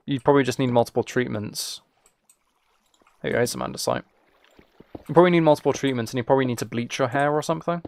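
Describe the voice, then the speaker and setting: British man, mid-pitched voice, noticeable vocal fry, game streamer, informal chat, thinking out loud. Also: sing-song, fast talker, pauses often. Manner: informal, rambling, musing aloud. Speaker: game streamer chatting with viewers. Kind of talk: casual chat while playing a game.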